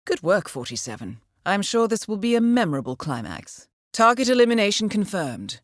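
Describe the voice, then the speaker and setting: An actress playing a composed intelligence handler who briefs an assassin in a measured, formal, understated way for a video game. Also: female voice, medium pitch, big pitch swings, no echo, studio quality, natural voice. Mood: cool, efficient. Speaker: mission handler. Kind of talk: scripted video game voice acting, mission briefing.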